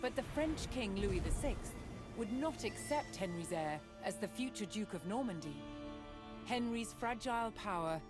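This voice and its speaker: Female documentary narrator, mid-pitched, measured and dramatic storytelling, scripted history read over loud music. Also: lively intonation. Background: loud cinematic background music.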